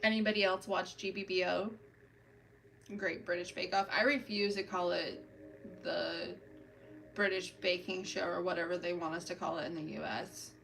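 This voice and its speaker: A woman's voice: lowish voice, steady tone, normal speed, vocal fry, fairly bright tone, distant mic, slight background noise, low-quality recording. A woman hosting a casual livestream, chatting with viewers while she paints her nails and talks through each step. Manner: chatty, self-narrating, talks to chat while working. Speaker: livestream host doing nail art. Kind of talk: casual chat with live viewers. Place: home, doing a manicure.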